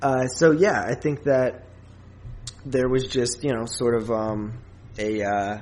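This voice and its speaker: A man co-hosting a podcast in casual film review chat, thinking aloud in hesitant, halting phrases. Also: medium pitch, steady tone, darkish tone. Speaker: podcast co-host.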